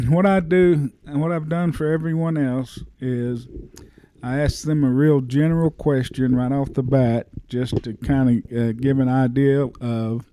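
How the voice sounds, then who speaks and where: A man's voice: medium pitch, no echo. A man hosting a podcast, chatting casually and loosely with many fillers and restarts. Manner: relaxed, hesitant with fillers and repeats. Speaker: podcast host. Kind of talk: casual interview.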